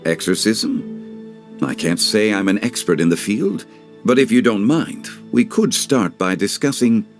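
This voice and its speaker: A man voicing a composed, learned gentleman in a video game, speaking formally and eloquently. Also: lowish voice, very animated, a little slow, soft background music. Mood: courteous. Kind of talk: dubbed video game cutscene dialogue.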